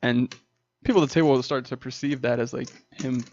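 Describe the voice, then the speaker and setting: A man's voice: mid-range voice, steady tone, a little fast, darkish tone. A man chats his way through live poker commentary, loose and rambling, laughing often at his own jokes. His voice sits in a middle range. The stream audio is dull and lo-fi, with a faint hiss under it.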